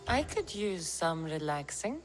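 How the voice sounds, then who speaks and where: An actress playing a bold, wry mercenary heroine in a video game, speaking bluntly and with assurance. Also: female voice, low voice, sing-song, a little slow, soft background music. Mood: wry, flirty. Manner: assertive, wry. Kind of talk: video game cutscene acting.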